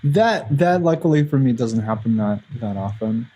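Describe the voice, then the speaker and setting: A man's voice: mid-range voice, expressive, moderate pace, dark tone, slightly rough, muffled recording. Man chatting loosely. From Eastern Europe. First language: Russian. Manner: rambling, swears freely, frequent restarts. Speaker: guest musician. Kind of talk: casual podcast chat.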